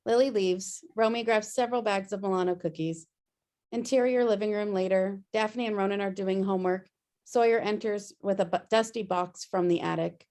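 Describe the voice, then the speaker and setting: A woman's voice: medium pitch, normal speed, a little mellow. A woman narrating a screenplay's stage directions at a table read.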